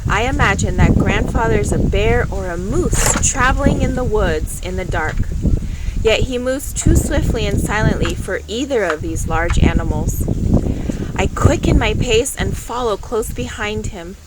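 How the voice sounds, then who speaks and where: Loud ambient noise surrounds a woman reading a children's story aloud. She takes her time, narrating with drama and expression at a medium pitch. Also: animated. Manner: dramatic, unhurried storytelling delivery. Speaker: storybook reader.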